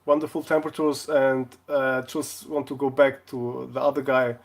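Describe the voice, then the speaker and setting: A man from Portugal, chatting casually on a live stream in a jokey way, often halting to search for words. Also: medium pitch, flat delivery. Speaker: live streamer, console repair video maker.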